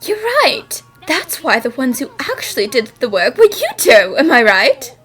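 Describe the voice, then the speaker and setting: A woman's voice: high voice, very animated, normal speed, a bit bright, husky, soft background music, heavily acted. A woman acting a confident, spirited young female detective in a cartoon fan dub, explaining things politely with self-assurance.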